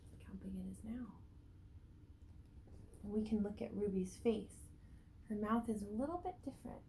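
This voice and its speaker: A woman teacher reads a picture book aloud to young children, stretching words for emphasis and pausing to ask them questions. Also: medium pitch, mellow, slight background noise, rough recording. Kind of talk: read-aloud storytelling with asides and questions to young listeners.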